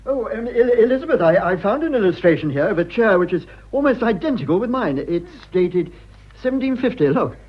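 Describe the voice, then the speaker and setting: A husky, middle-aged English gentleman, prim and petulant, with an upper-class accent. His pitch swoops about as he splutters and condescends in a period radio play. The recording is a little dull. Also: big pitch swings, a little fast, heavily acted. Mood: fussy. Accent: British upper-class RP. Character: prim, petulant upper-class husband, politician with taste for decoration. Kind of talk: period radio drama acting.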